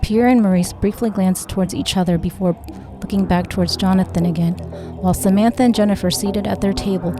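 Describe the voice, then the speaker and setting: A woman's voice: medium pitch, fairly even intonation, a little fast, over music, poor audio. A woman reading a story aloud as scripted narration, in the role of narrator. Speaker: audiobook narrator and actor.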